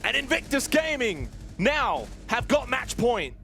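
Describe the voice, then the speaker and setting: A man's voice: very high voice, big pitch swings, a little slow, sharp tone, faint music behind. A man calling an esports match as a live play-by-play commentator. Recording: clear background noise with clicks.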